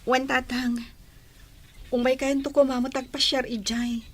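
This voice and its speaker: An actress playing an anxious, homesick young wife in a radio drama, speaking in a plaintive, pleading way. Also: female voice, high-pitched, flat delivery, measured pace, fairly bright tone. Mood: wistful, apologetic. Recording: noisy radio drama track with ambience.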